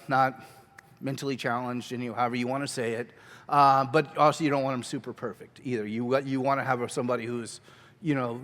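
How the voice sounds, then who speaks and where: A man teaching a children's ministry workshop at a conference, talking energetically and conversationally on a handheld mic. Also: mid-range voice. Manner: energetic, conversational, quick asides. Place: large church hall. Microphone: handheld microphone. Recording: long hall reverb.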